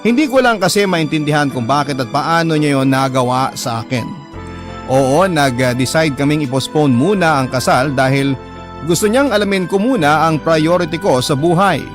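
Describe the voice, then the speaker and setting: A man's voice: a little high, moderate pace. A man with a Filipino accent reading a first-person letter as dramatic narration. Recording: clear music bed under narration.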